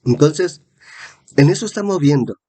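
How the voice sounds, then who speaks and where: A man's voice: fairly high pitch, lively intonation, normal speed, dark tone, breathy edge. A man giving a Bible-teaching sermon, congested with a cold and repeating phrases for emphasis. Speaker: preacher.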